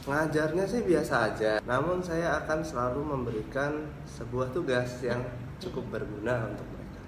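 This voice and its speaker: A man's voice: medium pitch, normal speed. A man from Indonesia answering interview questions as a university lecturer, explaining things point by point. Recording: echoey room with clear background noise.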